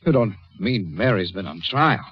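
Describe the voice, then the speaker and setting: A man's voice: lowish voice, animated, moderate pace, breathy edge. An actor playing an earnest, anxious young man in a radio mystery, polite but agitated and disbelieving. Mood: defensive. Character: young, anxious, disbelieving male fiancé, well-mannered. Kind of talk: radio mystery drama acting. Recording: dull, noisy lo-fi radio recording.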